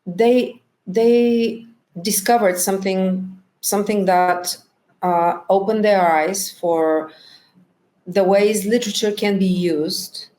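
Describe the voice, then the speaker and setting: A middle-aged woman whose first language is Bulgarian, a documentary director answering interview questions slowly and thoughtfully, often pausing to search for words. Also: mid-range voice, a little mellow, low-quality recording.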